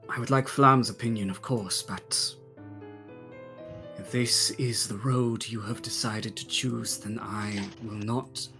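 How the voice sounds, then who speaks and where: A man playing a devoted, soft-spoken retainer to a noble lady, speaking in a solemn, formal and measured way. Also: fairly low pitch, steady tone, music in the background.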